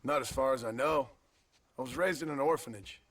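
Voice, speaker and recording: An actor playing a weary, skeptical gunslinger in a video game, speaking in a laconic, dry, guarded way. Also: male voice, mid-range voice, brisk, fairly bright tone, a little hiss or hum, close to an everyday voice. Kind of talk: restrained video game acting.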